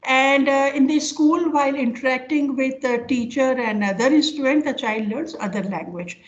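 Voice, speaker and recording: An older woman with an Indian accent giving an informal academic lecture over a video call, speaking haltingly as she explains with examples. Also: high voice. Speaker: professor giving an online lecture. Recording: dull, lo-fi online call audio.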